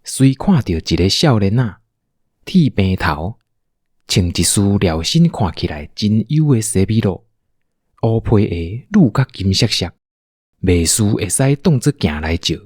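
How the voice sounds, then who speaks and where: A man's voice: fairly low pitch, big pitch swings, measured pace, darkish tone, husky, no echo, clean studio sound. A man with a Taiwanese accent narrating a scripted audio drama as a storyteller.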